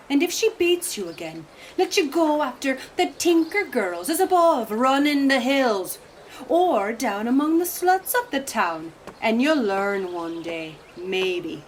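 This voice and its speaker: A woman's voice: medium pitch, slight background noise, low-quality recording. An actress playing a proud, scornful young Irish village woman in a radio play, haughty and taunting. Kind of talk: theatrical radio play acting.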